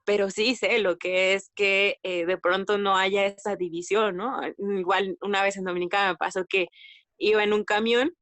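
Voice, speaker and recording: A woman from Mexico with a Mexican accent, chatting casually as a podcast guest, retelling anecdotes in an animated way with slang and quoted dialogue. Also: medium pitch, moderate pace, dry recording. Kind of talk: casual conversational storytelling.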